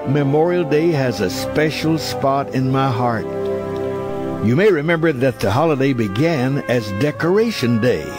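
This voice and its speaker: A man reading a scripted memoir as a narrator, in a nostalgic, reflective storytelling manner. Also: mid-range voice. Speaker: audiobook narrator. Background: background music.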